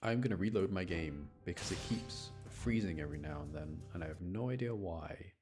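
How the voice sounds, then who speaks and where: Man, low-pitched voice, casual game streamer, chatty running commentary, clear music behind. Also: lively intonation, brisk, a little mellow. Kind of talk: casual live gameplay commentary.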